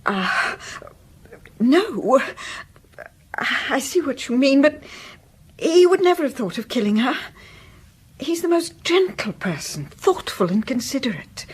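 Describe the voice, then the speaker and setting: A woman's voice: high voice, sing-song, slow, breathy edge, heavily acted. A woman playing an anxious, protective wife and mother in a radio drama, speaking formally and hesitantly. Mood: anxious, loyal.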